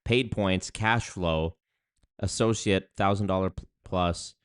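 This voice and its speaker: A man's voice: low voice, takes it slowly, smooth, no echo, clean studio sound. A man giving casual live-stream commentary on a video, wry and chatty, with restarts and asides.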